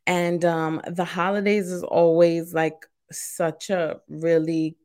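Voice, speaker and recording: Woman from brooklyn hosting a podcast, kinda low voice, casual chatty, says like and you know a lot. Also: level tone, slow pace, a little mellow. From Brooklyn, New York. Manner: conversational, uses "like" and "you know" often. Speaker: podcast host. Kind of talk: casual podcast interview chat.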